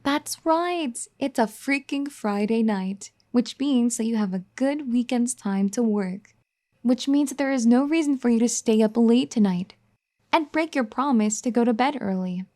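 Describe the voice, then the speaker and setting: A woman voicing a firm but caring young wife who scolds and then gently pleads in an audio roleplay. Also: a little high, moderate pace, close to an everyday voice. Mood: scolding, sassy. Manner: bossy teasing scolding that turns gentle and pleading. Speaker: ASMR roleplay performer. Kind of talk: audio roleplay addressed to the listener.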